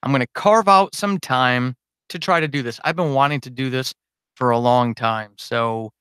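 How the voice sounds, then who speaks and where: A man's voice: medium pitch, moderate pace, fairly bright tone, clean tone, no room sound, clean studio sound. A man chatting casually and unscripted to his supporters on a livestream, rambling, informal and self-deprecating as a podcast host.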